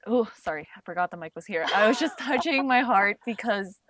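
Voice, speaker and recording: Woman who grew up speaking cantonese, candid casual chat as a guest, close dry recording, mid pitch. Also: animated, a little fast, fairly bright tone, dry recording. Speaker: guest on a conversation show. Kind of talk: candid, reflective casual conversation.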